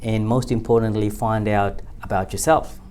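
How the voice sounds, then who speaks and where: Male host, friendly chatty interviewer, somewhat low voice. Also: steady tone, normal speed, darkish tone, a little hiss or hum. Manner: friendly, respectful, chatty, calls guest uncle. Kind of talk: casual interview.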